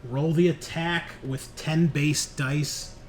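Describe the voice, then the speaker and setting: Man game-mastering over clearly noisy audio. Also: on the high side, fairly even intonation, slow. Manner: dramatic narration mixed with casual rulings; swears casually. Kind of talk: running a horror tabletop roleplaying game, reading rules and narrating. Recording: clear background noise.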